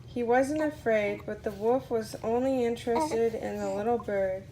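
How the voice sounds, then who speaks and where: A woman reading a children's story aloud to a small child in an expressive way, putting on voices for the characters. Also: fairly high pitch, moderate pace, a little hiss or hum, rough recording.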